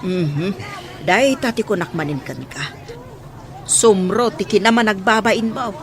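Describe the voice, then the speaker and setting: A woman playing a bossy but warm-hearted shopkeeper aunt in an Ilocano radio drama. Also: mid-range voice, expressive, a little slow, a faint crowd, lightly acted. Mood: kindly, coaxing.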